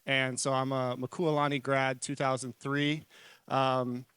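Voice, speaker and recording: A man in his forties from New Zealand and Hawaii, speaking informally as a conference presenter in an earnest, conversational way, often halting and correcting himself. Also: medium pitch, little pitch movement, a bit bright, clean tone, no echo. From New Zealand-born, raised in Hawaii. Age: 40s. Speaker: conference speaker, marketing consultant.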